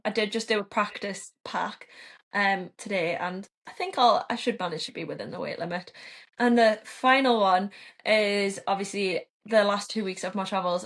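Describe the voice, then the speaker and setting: Young woman, giggly and upbeat, casual podcast chat. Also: mid-range voice. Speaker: podcast co-host.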